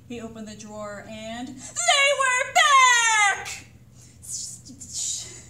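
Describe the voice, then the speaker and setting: A woman reading a picture book aloud to children as a librarian, with dramatic, suspenseful delivery. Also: on the high side. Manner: expressive, suspenseful pauses and sudden exclamations. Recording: roomy echo, distant mic, faint noise.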